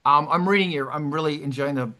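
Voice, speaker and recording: A man with a fairly high voice and a bright, forceful tone. He hosts in a casual livestream style, chatty and effusive. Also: quick pace. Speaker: host.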